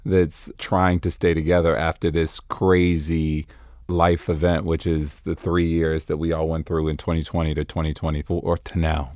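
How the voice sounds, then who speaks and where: A man speaking casually and haltingly as a panelist discussing a play. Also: very deep voice, flat delivery, darkish tone, no echo.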